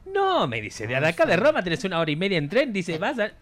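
A man's voice: sits high, wildly swooping pitch, fast talker, a bit bright. A man with a Rioplatense Spanish accent, a guest on a radio show, telling a travel story in casual chat with many fillers and restarts. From Argentina.

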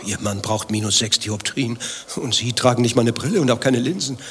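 An actor playing an evasive, prickly secret lover in a satirical radio play, formal and over-polite with flustered indignation. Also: male voice, medium pitch, brisk, noisy background, close to an everyday voice. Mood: dismissive.

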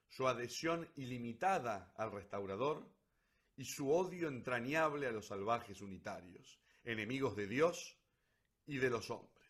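A man narrating a literary story for radio theatre in an expressive, declamatory way with ironic emphasis. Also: mid-range voice.

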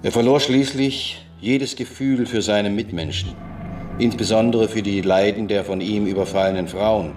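Formal, slightly distant lowish male reader. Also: steady tone, moderate pace, a little mellow. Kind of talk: formal reading of an expert report. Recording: slightly distant, faint music behind.

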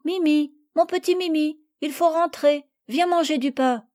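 A woman reading an audiobook, voicing a tender-hearted little girl with a high, eager childlike voice. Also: moderate pace, warm tone. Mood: coaxing. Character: sweet, tender-hearted little girl who spoils her bird.

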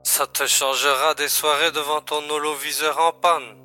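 A man's voice: on the high side, flat delivery, normal speed, sharp tone. Matter-of-fact male sci-fi colleague, played by an actor, dry and composed, faint music behind. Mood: dryly teasing. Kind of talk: audio drama acting.

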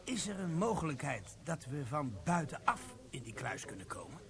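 A man's voice: on the high side, very animated, moderate pace, creaky, crowd noise. An actor playing a young soldier who coaxes his sergeant into a plan, in a radio play. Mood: curious. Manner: eager, coaxing, conspiratorial. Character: scheming young male soldier plotting a heist.

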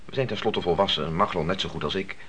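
A male actor as an earnest grown son in a radio play, with a low-pitched voice. He questions and argues cautiously, often halting before he gets to the point. The old recording carries faint noise. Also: close to an everyday voice. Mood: reasoning, insistent. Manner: hesitant, halting, argumentative.

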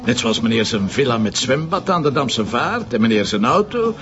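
An actor playing a shrewd, sardonic police commissioner who questions probingly, with a Flemish accent, in a naturalistic radio drama. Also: male voice, mid-range voice, sing-song, normal speed, close to an everyday voice. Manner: probing, dryly sarcastic. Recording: slightly dull lo-fi audio, music behind.

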